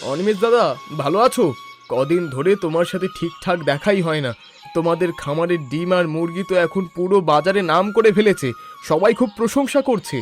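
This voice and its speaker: Man as young villager, earnest, talkative, slightly high pitch, cartoon drama, soft music underneath. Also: a little fast, close to an everyday voice. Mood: friendly. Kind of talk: cartoon voice acting.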